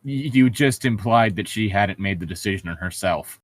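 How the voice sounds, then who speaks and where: Male co-host, fairly deep, dry sound. Reads prose casually with irreverent, snarky, sweary asides. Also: expressive, moderate pace, no echo. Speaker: co-host reading a novel aloud with commentary. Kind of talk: reading aloud with casual joking commentary.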